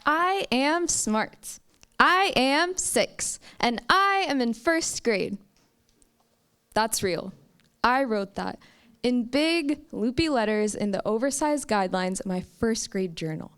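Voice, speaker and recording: A young woman from the United States telling a personal story at a live campus story slam, with slow, emphatic phrasing. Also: a little high, lively intonation, a bit bright, smooth. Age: young adult. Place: stage at a campus story event.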